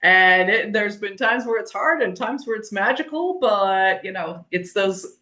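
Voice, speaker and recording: A woman's voice: medium pitch, unhurried, pushed and bright. A woman hosting a podcast, asking long, rambling questions and responding with effusive praise. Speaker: podcast host. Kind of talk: casual podcast interview.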